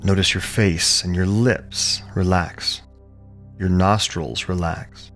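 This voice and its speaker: Low-voiced man guiding a meditation. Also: faint music behind. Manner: calm, soothing, measured delivery with short phrases and pauses. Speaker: yoga and meditation teacher leading a guided practice. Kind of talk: guided meditation, gentle instruction.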